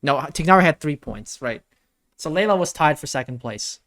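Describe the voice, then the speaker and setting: A reaction video host giving casual, halting commentary and correcting themselves as they go. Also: mid-range voice, expressive, fairly bright tone.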